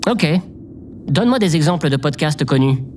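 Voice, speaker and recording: A man's voice: on the high side, animated, moderate pace, darkish tone, a little hiss or hum, natural voice. A man playing a hesitant, self-doubting oddball in an audio drama, speaking with stiff politeness as if musing aloud.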